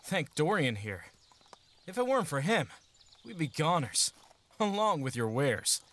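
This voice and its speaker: An actor playing an earnest, haunted young would-be mercenary in an audio drama, with hesitant, emotional delivery. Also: male voice, fairly high pitch, very animated, measured pace, a bit bright. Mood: calm.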